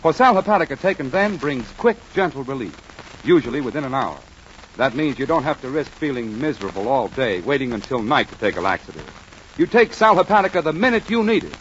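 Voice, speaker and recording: A man with an American accent playing a friendly, earnest druggist pal and pitchman as a 1940s radio announcer. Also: big pitch swings, fairly quick, fairly bright tone. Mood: smooth selling. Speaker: announcer and sidekick. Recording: muffled, noisy 1940s radio recording.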